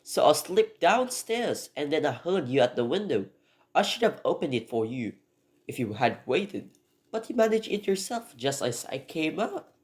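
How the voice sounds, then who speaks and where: A man's voice: a little high, sing-song, normal speed, voice pushed up. A man voicing a bitter, scheming noblewoman in a radio drama, insistent and conspiratorial. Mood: coaxing. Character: bitter, scheming noblewoman eager to betray her husband.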